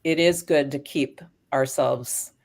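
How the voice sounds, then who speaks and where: A woman speaking as a guest expert on aging dogs, explaining research in a thoughtful, casual way with frequent pauses and restarts. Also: a bass voice, steady tone, darkish tone. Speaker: guest expert on canine cognitive decline.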